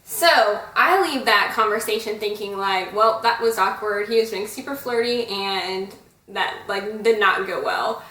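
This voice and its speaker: Bright-voiced young woman, echoey room. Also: medium pitch, unhurried, recorded from a distance. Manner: giggly, chatty. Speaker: vlogger. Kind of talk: casual storytelling chat.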